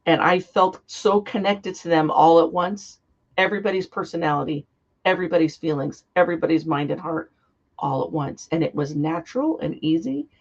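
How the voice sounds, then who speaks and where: A woman guest, slightly hoarse from a cold, explaining in an earnest, heartfelt way. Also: sits low, lively intonation, fairly quick. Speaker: guest psychic. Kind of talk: interview conversation. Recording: dull lo-fi sound.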